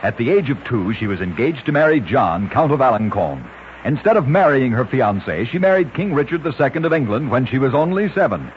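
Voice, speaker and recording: A man reading strange-fact stories in a brisk scripted radio announcer style, from an old radio show recording. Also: medium pitch, big pitch swings, highs cut off, a little hiss or hum. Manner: brisk announcer delivery of odd facts.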